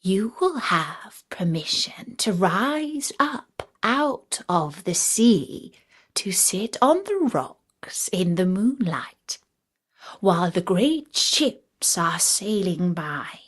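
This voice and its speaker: A woman voicing an old mermaid grandmother in a storybook, gently instructive, speaking in a slow, breathy whisper. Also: medium pitch, very animated, full character voice. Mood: gentle, solemn.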